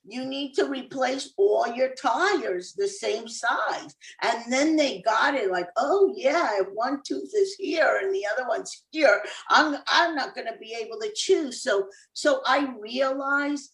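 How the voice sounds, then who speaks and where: A woman's voice: high voice, animated, measured pace, few pauses, a bit bright, roomy sound, recorded from a distance, low-quality recording. A woman from Cuba, an author and former dentist, talking casually as an interview guest with easy laughs and many fillers.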